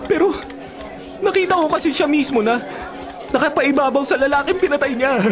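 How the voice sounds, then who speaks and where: Actress as high-pitched anxious young Filipina in radio drama. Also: female voice, crowd noise, close to an everyday voice. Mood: worried, disbelieving. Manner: dramatic, halting when distressed, breathless exclamations. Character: anxious, loyal young woman chasing truth about her friend. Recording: loud dramatic music behind. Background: loud music.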